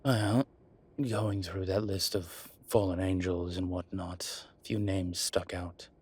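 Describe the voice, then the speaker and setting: A man playing a suave, wry incubus demon professor in an intimate audio roleplay, with a husky voice and hesitant pauses. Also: deep voice, fairly even intonation, darkish tone, exaggerated voice. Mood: measured explaining.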